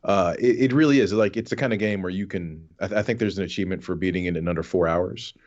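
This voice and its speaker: A man on a podcast panel giving a casual, halting game review, often repeating his words. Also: low voice, a little mellow. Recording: dull, band-limited voice audio.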